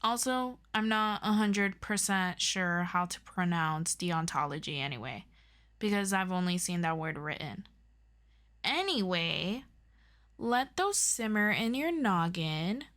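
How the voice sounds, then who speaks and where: A woman playing an earnest, eager superhero best friend in an audio roleplay, tutoring the listener in a halting, casual, self-deprecating way. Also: fairly low pitch, animated, a bit bright. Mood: casual, thoughtful.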